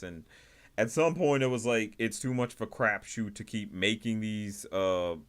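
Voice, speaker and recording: A man hosting a livestream, giving casual, rambling commentary in a halting way, often repeating and rephrasing himself. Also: low-pitched. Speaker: livestream host reviewing indie animation.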